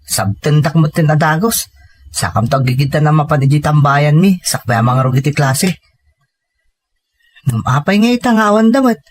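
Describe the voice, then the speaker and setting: A man's voice: fairly high pitch, a little hiss or hum, lightly acted. A man playing an earnest, respectful young student in an Ilocano audio drama. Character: Hector, young male student, respectful and reassuring to his grandmother.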